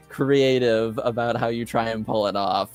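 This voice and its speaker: A man speaking as game master, improvising descriptions for a tabletop game in a hesitant, halting way. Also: mid-range voice, steady tone, clean tone, faint music behind.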